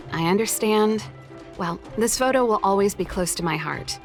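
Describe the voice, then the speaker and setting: A woman's voice: mid-range voice, expressive, a little slow, soft background music. A woman voicing a cool, friendly young rock musician in a video game, breezy and teasing.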